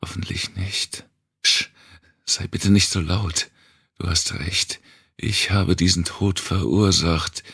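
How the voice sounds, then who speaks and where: A man's voice: low voice, steady tone, slow, creaky, husky, no echo, clean studio sound, voice pushed up, heavily acted. A man voicing a desperate, fiercely protective woman. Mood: urgent hushed. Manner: pleading, urgent, hushed.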